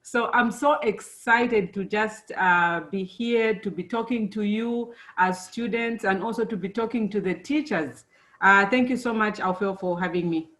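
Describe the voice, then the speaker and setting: A middle-aged woman born and raised in Zambia, giving a friendly, unhurried presentation to students, with many fillers. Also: medium pitch, fairly bright tone. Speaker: engineer giving a talk to students.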